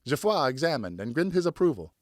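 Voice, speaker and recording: A man narrating an audiobook as a dramatic, theatrical storyteller with expressive scripted delivery. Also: fairly high pitch, brisk, no echo, studio quality. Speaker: audiobook narrator, professional voice actor.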